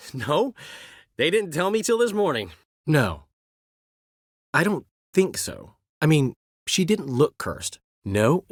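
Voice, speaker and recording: A man's voice: a little high, no room sound, clean studio sound. An actor voicing a vain, witty male bard in a video game, speaking in flamboyant, florid phrases. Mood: chatty. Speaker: game character. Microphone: dry studio recording.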